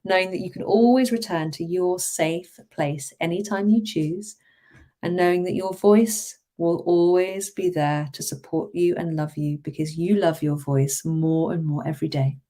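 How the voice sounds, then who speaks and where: A woman hypnotherapist who guides a relaxation with a soothing, slow cadence and also chats casually. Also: fairly low pitch, warm tone. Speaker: hypnotherapist and host.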